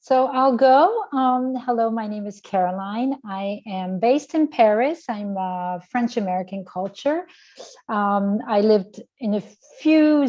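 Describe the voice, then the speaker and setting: A woman's voice: mid-range voice, dark tone. A woman introducing herself in an orderly, composed way over a video call. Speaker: network manager introducing herself. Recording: muffled call audio.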